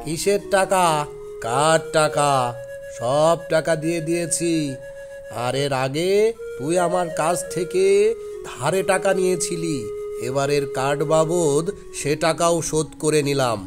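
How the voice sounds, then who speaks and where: A man plays a greedy village timber merchant in a cartoon folk tale. His voice sits fairly high and he drags his words out slowly. He is crooked and bullying, blustering and scolding in manner. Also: over music, lightly acted. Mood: scornful, angry.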